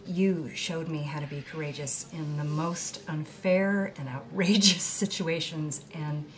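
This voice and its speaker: Admiring, sincere female interviewer. Slightly low, gentle, deliberate audio drama dialogue, slow pace. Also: fairly even intonation. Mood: grateful, moved. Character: admiring, grateful woman interviewing her aged heroine and friend.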